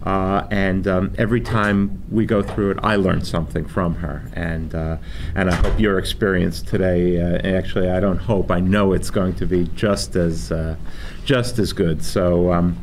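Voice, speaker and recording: Joking man with a lowish voice. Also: steady tone, normal speed. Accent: New York. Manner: self-deprecating, joking, many fillers. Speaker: financial planner, workshop moderator. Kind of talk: public workshop presentation. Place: library meeting room. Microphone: microphone on stand. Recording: noisy room recording.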